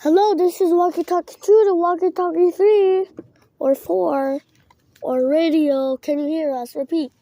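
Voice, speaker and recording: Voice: very high-pitched, fairly even intonation, unhurried, mellow, dry recording. A child hosting a casual amateur video, talking to camera and companion in a bossy, repetitive way.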